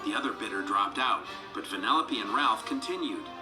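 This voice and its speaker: Animated male storybook narrator over music. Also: on the low side, a little fast, rough recording. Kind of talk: scripted children's read-along narration. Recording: music behind the voice.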